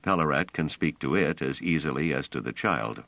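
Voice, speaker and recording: A man's voice: rumbling low, expressive, normal speed, gravelly, no room sound, lo-fi sound, full character voice. A man reading aloud, voicing a blunt, matter-of-fact man close to his own voice.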